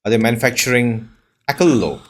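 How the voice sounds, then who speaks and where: A man giving casual let's-play commentary in a husky voice, musing aloud and reading names off the screen. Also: mid-range voice, expressive, a little fast. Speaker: video game player and host. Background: plucked-string game music.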